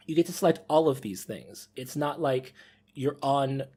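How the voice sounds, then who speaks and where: A man's voice: medium pitch, lively intonation, moderate pace, a little mellow, slightly rough. A man hosting a casual podcast discussion, rambling and rephrasing as he thinks aloud. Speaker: podcast host.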